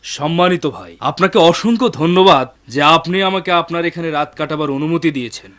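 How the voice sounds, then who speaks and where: A man's voice: a little high, normal speed, a bit bright, lightly acted. A man playing a scheming bandit chief who poses as a polite oil merchant in a dubbed cartoon. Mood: polite, ingratiating. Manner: courteous, ingratiating.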